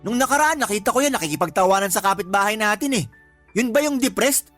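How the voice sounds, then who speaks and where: Radio drama role: argumentative, sarcastic husband, easily riled, music underneath. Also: male voice, high voice, lively intonation, fast talker, a bit bright. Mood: bitter, exasperated. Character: hot-tempered, aggrieved live-in husband ranting about his mother-in-law. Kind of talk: Tagalog radio drama acting.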